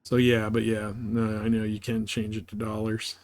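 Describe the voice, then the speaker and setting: A man's voice: lowish voice, monotone, normal speed, darkish tone. Laid-back man streaming a game. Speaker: live streamer playing a space game. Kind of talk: casual gaming stream chat, thinking aloud.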